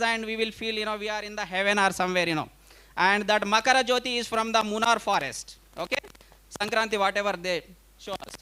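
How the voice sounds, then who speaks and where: A man's voice: extremely high pitch, bright, forceful tone, clear, resonant tone. A man from India gives an informal conference lecture in an emphatic, conversational way, often repeating himself and checking in with listeners. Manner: emphatic, repetitive, conversational, with frequent check-in tags. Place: live conference talk.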